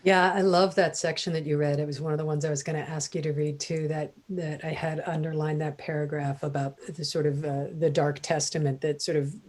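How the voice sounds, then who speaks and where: A woman with a notably low voice discusses a book thoughtfully. She searches for her words and hedges with small fillers as she talks. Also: level tone, a little fast, hardly any pauses, darkish tone. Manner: thoughtful, hesitant, many fillers. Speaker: author. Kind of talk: casual literary conversation.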